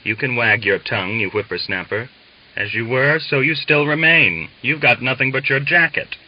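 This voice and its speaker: A man's voice: medium pitch, moderate pace, close to an everyday voice. Man playing an angry, blunt Cossack family man, lo-fi. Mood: shouting scorn. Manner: quietly angry, blunt, scornful. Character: angry, practical Cossack family man, war veteran, contemptuous. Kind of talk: dramatised audiobook dialogue.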